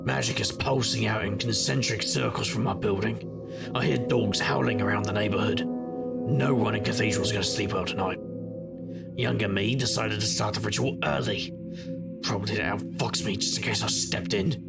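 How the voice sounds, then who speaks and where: A man's voice: fairly low pitch, moderate pace, vocal fry, loud music behind the voice. A man playing a wry, brooding middle-aged magician detective, narrating an audio drama in first person.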